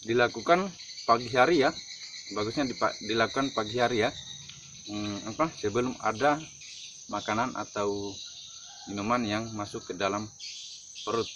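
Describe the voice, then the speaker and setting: A man presenting an informal demonstration vlog, explaining in a halting way. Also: lowish voice. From North Buton, Southeast Sulawesi, Indonesia. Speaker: herbal remedy video presenter. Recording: noisy outdoor audio with insects and birds.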